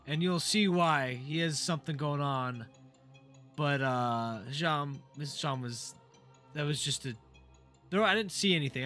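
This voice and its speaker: A man who is sick and a bit raspy, hosting a game playthrough in casual, rambling commentary with many fillers and restarts. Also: mid-range voice, pushed and bright. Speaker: gaming channel host. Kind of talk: casual let's play commentary.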